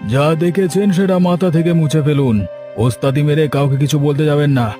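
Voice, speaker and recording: An actor playing a menacing, smug village killer in a cartoon, bullying and commanding. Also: male voice, fairly high pitch, moderate pace, warm tone, faint music behind, natural voice. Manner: threatening, commanding.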